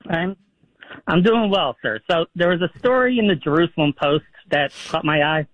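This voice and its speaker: A man's voice: a little high, expressive, moderate pace, a bit bright, dry recording. A man from New York calling a radio show, hesitant and stammering. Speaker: caller. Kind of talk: phone-in call. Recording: muffled phone line.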